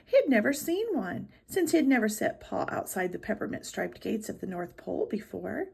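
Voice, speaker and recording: A woman reading a storybook aloud to children in expressive narration. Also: mid-range voice, a little fast, creaky, slightly rough. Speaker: storybook reader.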